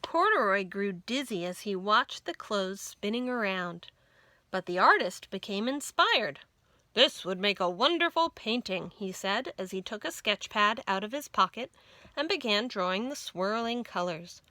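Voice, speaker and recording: A woman reading a children's story aloud as expressive narration, with deliberate, dramatic phrasing. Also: medium pitch, no room sound.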